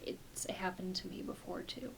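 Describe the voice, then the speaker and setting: A woman playing a kind, reassuring doctor in a soft-spoken ASMR roleplay, talking in a gentle, hesitant, conversational way. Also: fairly low pitch, moderate pace, vocal fry, poor audio. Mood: calm, reassuring. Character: kind, reassuring doctor who treats werewolves.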